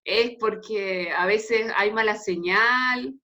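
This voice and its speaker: A woman's voice: mid-range voice, slow pace, bright, forceful tone. A woman in her thirties with a Chilean Spanish accent, a pastor speaking casually and chattily as she leads an informal Bible talk on a video call. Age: 30s. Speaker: pastor leading a youth meeting. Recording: dull, lo-fi video call audio.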